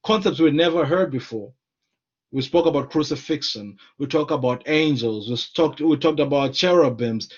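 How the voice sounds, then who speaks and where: Earnest scholar, slightly muffled. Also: male voice, a little high, moderate pace. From Zimbabwe. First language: Shona. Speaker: scholar and lecturer. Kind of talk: conversational discussion.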